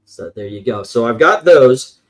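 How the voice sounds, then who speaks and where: A man's voice: mid-range voice, lively intonation, normal speed. A man hosting a casual show-and-tell as a collector, rambling along with occasional profanity. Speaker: comic book collector and show host. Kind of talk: casual show-and-tell commentary on vintage comics.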